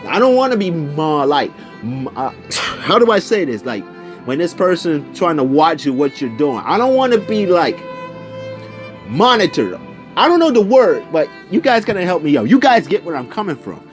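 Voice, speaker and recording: A young man telling a personal story casually, in an animated, slangy way, acting out the conversations as he goes. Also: fairly high pitch, moderate pace, soft background music. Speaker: video storyteller.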